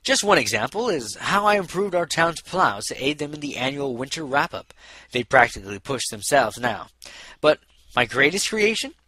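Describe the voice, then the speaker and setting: A man voicing a boastful, smooth-talking male pony, a self-important musician and inventor, in a storybook reading. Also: mid-range voice, very animated, normal speed, bright, forceful tone, dry recording, voice pushed up. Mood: proud, coaxing.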